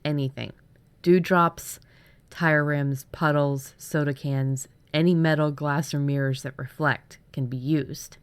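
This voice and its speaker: A woman's voice: low voice, steady tone, measured pace, clean tone. A woman co-hosting a writing workshop, casually chatting as she shares her story ideas. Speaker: writer and workshop co-host.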